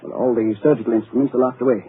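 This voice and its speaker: A man playing a grave, measured doctor. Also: medium pitch, steady tone, close to an everyday voice. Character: grave middle-aged male doctor. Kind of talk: 1940s radio acting. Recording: tinny old radio broadcast with hiss.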